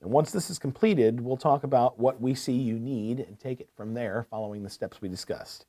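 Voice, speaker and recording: A man's voice: medium pitch, moderate pace, dark tone, close to an everyday voice. A man playing a courteous, attentive equipment salesman in a scripted business phone call, asking probing questions. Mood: businesslike, helpful. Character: courteous, eager male heavy-equipment salesman.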